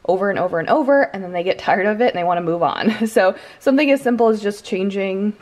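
An American woman in her thirties talks to her viewers in a casual, conversational way. Her voice sits at a middle pitch. She sounds like a lifestyle vlogger recording inside her house. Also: quick pace, darkish tone. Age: 30s.

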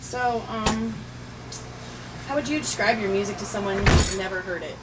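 A woman's voice: medium pitch, steady tone, moderate pace. A woman asking questions casually as an interviewer. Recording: distant mic, clear vehicle and air-con hum.

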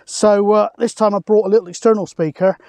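A man's voice: high-pitched, fairly even intonation, fairly quick, vocal fry, a little mellow, no room sound. A British man in his forties chatting casually to camera as a vlogger, recorded outdoors on an open hilltop. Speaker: vlogger, CB radio hobbyist. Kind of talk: casual outdoor vlog talk.

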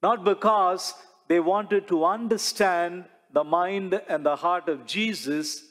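A middle-aged man preaching a homily as a priest, slow and measured, building his points with repeated rhetorical questions. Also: high-pitched, fairly bright tone. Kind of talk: sermon. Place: large church sanctuary before the altar. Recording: long church reverb.

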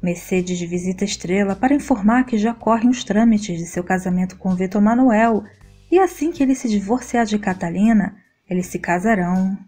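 Mellow woman reading a soap recap. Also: mid-range voice, normal speed, faint music behind. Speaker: recap narrator. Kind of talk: scripted soap opera recap narration.

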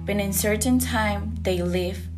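Student from Costa Rica, reads her script slowly, guitar bed under her. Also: mid-range voice. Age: young adult. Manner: slow, earnest reading. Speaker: student podcaster. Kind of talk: scripted reading.

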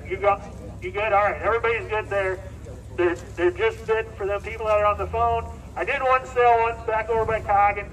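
A male auctioneer with a Midwestern American accent addresses a farm sale. His voice is high for a man. It is picked up outdoors, some way from the microphone. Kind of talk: live farm auction calling and announcing. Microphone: distant handheld camera picking up PA. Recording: distant outdoor loudspeaker sound.

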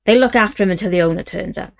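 A woman's voice: mid-range voice, racing, gravelly, lightly acted. A woman voicing a big, blustering father who roars his lines in a storybook. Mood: gruff. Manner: loud, roaring. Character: gruff giant of a father, blustering.

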